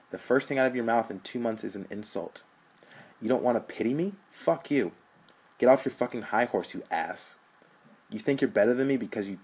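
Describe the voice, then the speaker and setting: A man's voice: fairly low pitch, gravelly, breathy edge. A man voicing a defiant, swearing slacker brother. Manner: angry, swearing, defensive.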